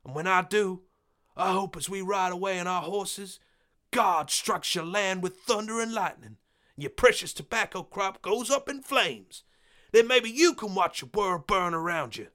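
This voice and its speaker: A man's voice: sits high, lively intonation, normal speed, voice pushed up. A man doing a furious, desperate sharecropper. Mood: defiant fury. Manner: defiant, shouting threats.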